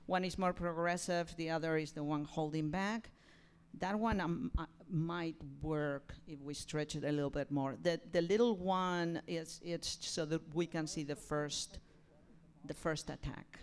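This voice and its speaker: A woman moderating an informal post-show discussion, thinking out loud in an animated way and readily interjecting. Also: low voice, unhurried, clear, resonant tone. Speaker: discussion moderator, theatre director.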